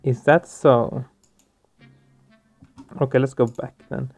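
Mellow man narrating his game playthrough. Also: medium pitch, steady tone, takes it slowly, gravelly. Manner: hesitant, thinking aloud. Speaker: let's player. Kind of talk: casual game playthrough commentary. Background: soft game music.

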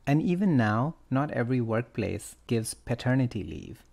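A man hosting a podcast, telling a story and discussing it casually, with a gravelly creak in his voice. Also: mid-range voice, very animated, moderate pace, a little mellow. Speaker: podcast host.

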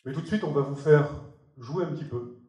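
A man's voice: fairly high pitch, a little fast, warm tone. Earnest French man giving a keynote. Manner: earnest, emphatic, moving around the stage and gesturing. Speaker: keynote speaker. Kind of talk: conference keynote talk. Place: large theater stage. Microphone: headset mic. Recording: roomy hall sound.

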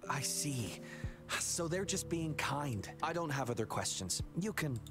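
A suspicious game patrol cop, lively probing voice, music behind. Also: male voice, fairly high pitch, measured pace. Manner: questioning, suspicious. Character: suspicious stern male patrol officer.

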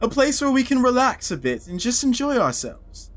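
A man reading a scheming cannibal cook's lines in a raised, raspy voice, sing-song and theatrical. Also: extremely high pitch, big pitch swings, normal speed, soft background music, pitched above the performer's own voice. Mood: sweet. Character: scheming woman cook, a cannibal. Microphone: headset.

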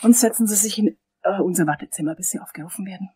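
An actress playing a friendly, naive middle-aged dental assistant with a polite service tone in a crime radio play. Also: female voice, mid-range voice, quick pace, warm tone, husky.

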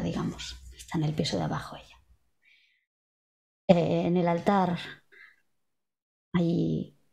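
A woman's voice: on the low side, gravelly, warm tone. A woman with an accent from Spain, improvising descriptions as a player in a tabletop game, hesitant and searching for words. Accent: Spanish (Spain). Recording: faint music behind the voice.

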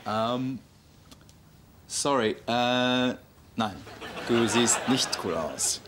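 A man's voice: mid-range voice, very slow, a crowd in the background, noisy background. An actor playing a cheeky young man who teases and laughs as he talks. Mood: teasing. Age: young adult. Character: cheeky young male neighbour, cocky. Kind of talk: sitcom acting.

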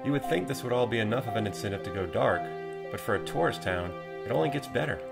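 A man's voice: on the low side, expressive, fast, vocal fry. A man reading scripted narration for a short documentary. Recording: voice-over under loud music. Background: loud music.

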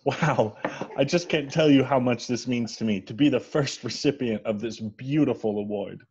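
A man reads a self-satisfied celebrity model in a comedy table read. The voice is breathy and rough at a middle pitch, heard through a dull video call. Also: moderate pace, darkish tone. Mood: gushing, smug.

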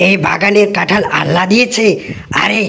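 A performer voicing a stingy, rude tiger in a cartoon, scolding with contempt in a creaky voice. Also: mid-range voice, fairly quick, pushed and bright, full character voice. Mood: angry, scornful. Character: stingy, rude, bullying tigers guarding their jackfruit. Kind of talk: cartoon voice acting. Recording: noisy recording with clicks.

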